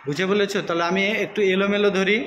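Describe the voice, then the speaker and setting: A woman's voice: mid-range voice, steady tone, quick pace. A woman with a Bangladeshi accent teaching a class interactively, encouraging pupils and prompting them with short questions. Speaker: school teacher. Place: indoor school classroom. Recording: echoey, noisy classroom recording.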